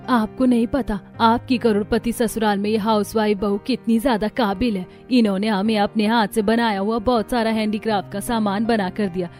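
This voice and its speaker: A woman's voice: mid-range voice, darkish tone, close to an everyday voice. A woman voicing a humble, grateful housemaid in a cartoon story. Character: humble, grateful housemaid praising her mistress's daughter-in-law.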